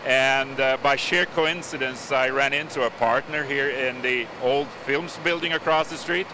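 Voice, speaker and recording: A man's voice: mid-range voice, fairly even intonation, moderate pace, background noise. Swedish-born man, company boss. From Sweden. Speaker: company CEO. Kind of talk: casual interview talk.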